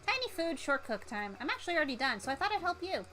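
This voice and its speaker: Lady doing a gushy bubbly best friend girl, really high voice, earnest anime game type. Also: very animated, fast talker, pushed and bright, faint music behind, exaggerated voice. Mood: affectionate, eager. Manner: gushing, earnest.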